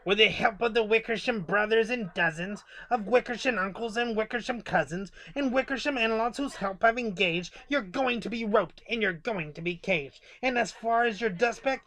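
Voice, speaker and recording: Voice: mid-range voice, moderate pace, hardly any pauses, a bit bright, pitched above the performer's own voice, natural voice. A storyteller voicing a stern, scornful kangaroo with a snappish, haughty manner in a storybook. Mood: threatening. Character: bossy, scolding big kangaroo, stern and scornful.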